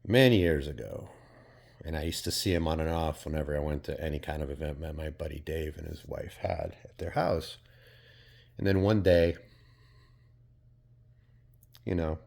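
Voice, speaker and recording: A man's voice: a bass voice, steady tone, normal speed, gravelly, darkish tone. A man from Portugal talking in a casual, reflective vlog monologue, rambling and hesitant, with many fillers and casual swearing. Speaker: vlogger.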